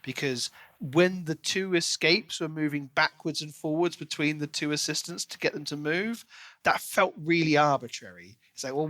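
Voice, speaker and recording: A man's voice: on the high side, a bit bright, dry recording. A man co-hosting a podcast, talking casually and analytically through his thoughts on a book.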